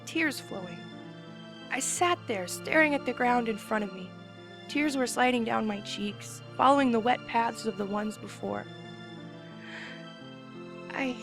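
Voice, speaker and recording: A woman's voice: a little high, vocal fry. A woman giving an emotional, earnest first-person dramatic reading of a story. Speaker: reader.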